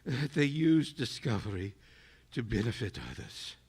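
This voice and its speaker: An actor playing a dying, remorseful invisible scientist in an audio drama, speaking in halting, clipped phrases with a husky voice. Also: male voice, mid-range voice, lively intonation, darkish tone. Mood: regretful, pleading. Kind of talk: dramatic audio theater monologue.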